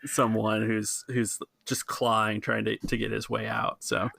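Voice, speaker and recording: A man's voice: on the low side, flat delivery, unhurried, gravelly, sharp tone. A man hosting and running a tabletop role-playing game, narrating scenes and rules in a casual podcast style. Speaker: game master and show host.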